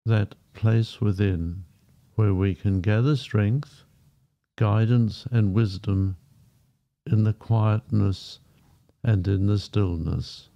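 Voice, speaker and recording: A man guiding a meditation in a gentle, unhurried manner, leaving long pauses between short phrases. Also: lowish voice, fairly even intonation, gravelly. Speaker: meditation guide. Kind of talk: guided meditation, softly spoken. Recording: dull, muffled lo-fi sound. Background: background music.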